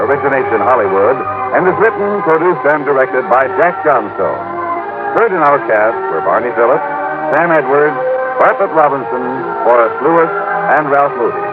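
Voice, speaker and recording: A man's voice: fairly high pitch, animated, normal speed, phone-quality sound. An American man announcing scripted credits in a formal, projected 1950s radio style. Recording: band-limited old radio recording, loud music.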